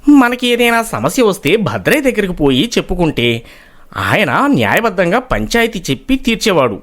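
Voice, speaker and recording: A man playing earnest, aggrieved village men in storybook dialogue, expressive and questioning. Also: high-pitched.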